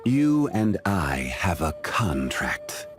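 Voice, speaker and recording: A male actor playing a calm, dignified, ancient immortal man in a video game, speaking in a measured, formal, refined way. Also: sits low, over music, full character voice. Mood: solemn. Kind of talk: scripted video game character voice lines.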